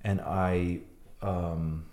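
A man's voice: low-pitched, little pitch movement, very slow, a little mellow, roomy sound. A young man, born in Iran, telling his story as a podcast guest, blunt and swearing freely. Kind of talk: podcast interview.

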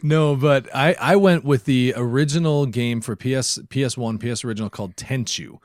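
A man's voice: medium pitch, a little fast, no room sound, studio quality. A man co-hosting a podcast in casual, conversational chat. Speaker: podcast co-host.

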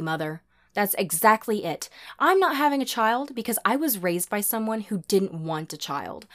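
A woman's voice: on the high side, voice pushed up, lightly acted. A woman voicing a defiant, resolute daughter in an audio story, firm and keeping emotion in check. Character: defiant, resolute daughter refusing to have children.

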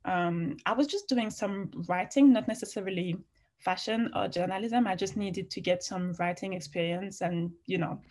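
A woman's voice: medium pitch, moderate pace, darkish tone. A woman with a slight French accent mixed with British, answering interview questions in a chatty, frank way with many fillers. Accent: French, with some British. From France. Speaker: interview guest, fashion writer.

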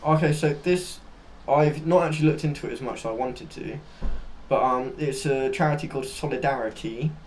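A man's voice: mid-range voice, fairly quick. A man co-hosting a casual discussion of current affairs, speaking informally and tentatively, often correcting himself. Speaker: co-host. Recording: noticeable background noise.

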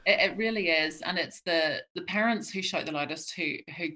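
A middle-aged woman, speaking as an interview guest in a reflective, halting way with many restarts. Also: fairly low pitch, sharp tone, poor audio. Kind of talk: conversational interview.

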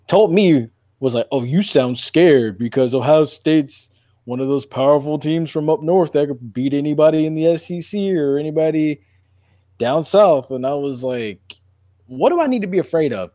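Mellow male sports podcast host, dry sound. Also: fairly high pitch, expressive, normal speed, no room sound. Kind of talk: animated casual sports debate.

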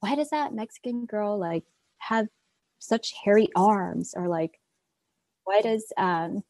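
A woman's voice: medium pitch, slow pace, darkish tone, dry recording. A young woman from Minneapolis candidly recounting what classmates said about her. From Minneapolis, USA. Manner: candid, recounts quoted remarks. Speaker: interviewee. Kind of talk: personal account.

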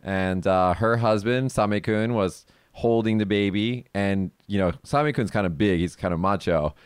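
A chatty young man hosts a relaxed podcast, laughing easily as he asks questions. His voice is smooth and clear, recorded close and dry with no room sound. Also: on the low side, normal speed, dry recording. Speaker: podcast host. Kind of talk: casual podcast chat.

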